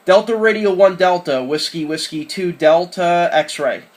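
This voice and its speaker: A man's voice: high-pitched, measured pace, a little hiss or hum. A man chatting casually and enthusiastically as an amateur radio operator during a radio contact.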